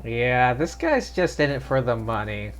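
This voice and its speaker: A woman's voice: extremely low pitch, big pitch swings, a little slow. A woman live streamer giving casual, wry, sardonic commentary while playing a game. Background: game music.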